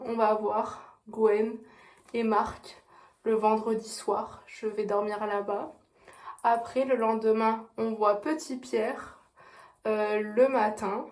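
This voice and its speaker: A woman in her twenties, a student filming a casual vlog diary, chatty and excitable, often laughing. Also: mid-range voice. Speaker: student vlogger.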